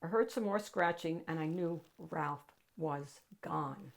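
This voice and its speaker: A woman reading a picture book aloud to children as host, in an animated, dramatic storytelling style. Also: deep voice, slow pace, creaky.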